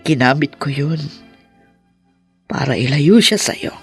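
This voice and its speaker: A guilty older mom in a radio drama, hoarse-ish husky voice, halting and pleading, faint music behind. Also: female voice, rumbling low, heavily acted. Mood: regretful, intense. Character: remorseful, possessive older mother pleading for forgiveness. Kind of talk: Filipino radio drama acting.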